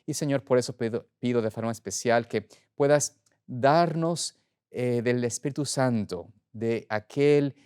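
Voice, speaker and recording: A middle-aged man preaching as a pastor in an earnest, deliberate way, pausing for emphasis. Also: mid-range voice, a little mellow, clear, resonant tone. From Ecuador. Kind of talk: sermon, opening with prayer.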